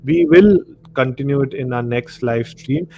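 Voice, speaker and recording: A man with an Indian accent hosting a casual live discussion, explaining in a halting way and checking that he is understood. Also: medium pitch, vocal fry, dark tone. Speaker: live stream host. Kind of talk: casual discussion of horror films and the supernatural.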